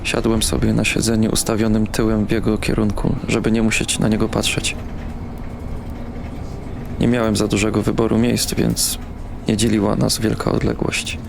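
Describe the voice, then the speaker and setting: An actor playing a bitter, brooding young man in an audio drama, narrating and speaking in a weary, terse deadpan. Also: on the low side, moderate pace, vocal fry, background noise. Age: young adult. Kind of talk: audio drama first-person narration and dialogue.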